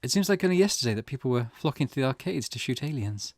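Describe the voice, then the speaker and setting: A man's voice: mid-range voice, animated, fast talker, no room sound, clean studio sound. A man voicing a weary, grieving middle-aged mother from a visual novel, reading her old-fashioned, wistful thoughts aloud.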